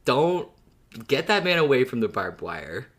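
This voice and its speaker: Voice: medium pitch, very animated, a little slow, breathy edge. A podcast co-host talking in a casual, conversational style.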